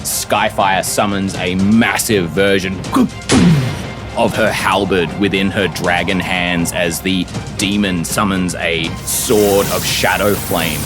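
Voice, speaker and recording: A man runs a fantasy tabletop game in a deep voice. His dramatic narration moves slowly, with music clearly playing behind it. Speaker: game master.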